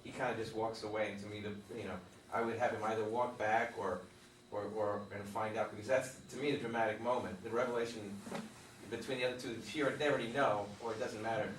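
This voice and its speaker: A man's voice: lowish voice, fairly even intonation, quick pace, a little hiss or hum. A man giving rambling, thoughtful feedback on a play in a casual group discussion, with many restarts. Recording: roomy and distant.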